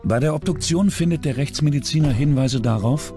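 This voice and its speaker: A man's voice: a little high, brisk, over music. A man reading scripted true-crime documentary narration.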